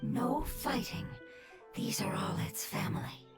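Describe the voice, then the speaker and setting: A woman voicing a gentle, sorrowful ancient dragon in a soft, breathy whisper, over game music. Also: on the high side, measured pace, lightly acted. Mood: earnest. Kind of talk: game voice acting. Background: loud game music.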